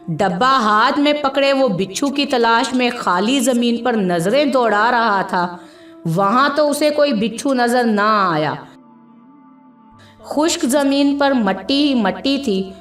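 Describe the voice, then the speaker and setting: A woman reading a scripted moral story as a narrator, in a measured, dramatic storytelling manner. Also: on the high side. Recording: echoey voice with music behind.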